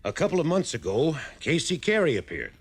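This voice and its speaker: A man's voice: on the high side, wildly swooping pitch, a little fast, slightly rough, heavily acted. An actor playing an earnest, worried American man briefing an investigator in a 1950s radio drama, telling his account insistently and emphatically.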